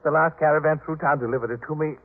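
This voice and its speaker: A man's voice: fairly high pitch, steady tone, very fast, natural voice. An American man playing a proud, boastful household master in a 1950s radio drama, with a pompous, patronizing manner. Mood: excited, urgent. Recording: narrow-band vintage 1950s radio recording.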